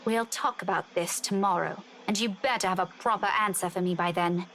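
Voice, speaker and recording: A woman's voice: mid-range voice, steady tone, brisk, a bit bright, a little hiss or hum, close to an everyday voice. An actress voicing a stern, exasperated young woman in a visual novel game, firm and scolding. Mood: angry, accusing.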